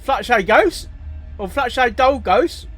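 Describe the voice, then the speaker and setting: A man giving casual, rambling reaction commentary as a host, haltingly, often repeating words and phrases. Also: very high voice, animated, fairly bright tone, dry recording, soft background music.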